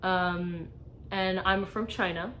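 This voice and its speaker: A woman's voice: lowish voice, fairly even intonation, slow, a bit bright, a little hiss or hum. A young woman from New York answering tag questions about her small art shop. She rambles with lots of fillers and swears casually. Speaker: small business artist.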